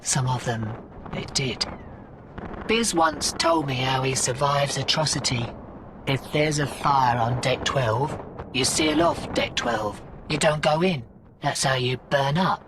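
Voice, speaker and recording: A woman's voice: extremely low pitch, animated, slow, recorded from a distance, noisy background, full character voice. A woman voicing a tough, sardonic, weary streetwise mercenary in a video game, with clipped, slangy delivery. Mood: grave, resolute. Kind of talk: scripted video game character dialogue.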